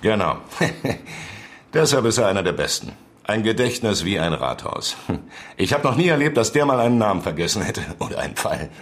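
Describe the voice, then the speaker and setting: A man's voice: on the low side, very animated, moderate pace. A man playing a seasoned, wry and persistent newspaper reporter in a naturalistic radio drama. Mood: genial.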